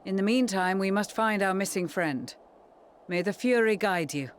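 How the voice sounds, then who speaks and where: An actor voicing a composed, dutiful leader in a fantasy game, speaking formally and with measured reassurance. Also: medium pitch, expressive, a little hiss or hum, close to an everyday voice. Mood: calm, grave. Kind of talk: scripted fantasy game acting.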